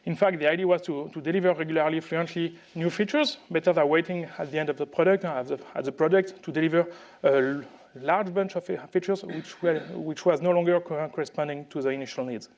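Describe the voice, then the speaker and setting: A man from France with a French accent, giving a conference presentation in a halting way with many fillers and restarts. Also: on the high side, a little mellow. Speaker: conference speaker.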